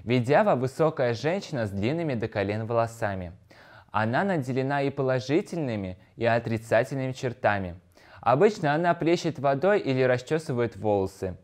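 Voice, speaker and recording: A man's voice: mid-range voice, animated, brisk. A man with a mid-range voice delivers scripted educational narration. He explains things like a teacher, speaking directly to his pupils in prepared sentences. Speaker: language lesson presenter.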